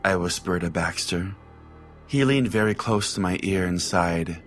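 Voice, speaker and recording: Guy playing a grumpy sarcastic pastor on a comedy radio call-in show, lowish voice, bit of music under it. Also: a little slow. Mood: theatrical storytelling. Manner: exasperated, sarcastic, theatrical storytelling. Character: irritable foul-mouthed male radio pastor hosting call-in show.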